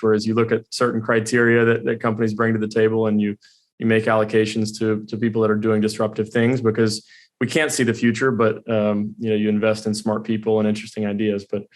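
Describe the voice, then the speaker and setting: A man's voice: lowish voice, completely flat delivery, fast. A man hosting an interview show, asking long, winding questions. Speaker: podcast host.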